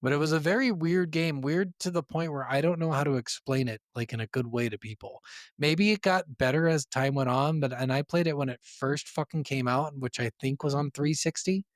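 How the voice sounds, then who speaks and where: A man's voice: on the high side, fairly quick, no room sound, clean studio sound. A man co-hosting a gaming podcast, chatting informally and rambling as he thinks aloud, with some swearing. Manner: profane, informal slangy talk. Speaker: podcast co-host. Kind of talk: casual gaming podcast chat.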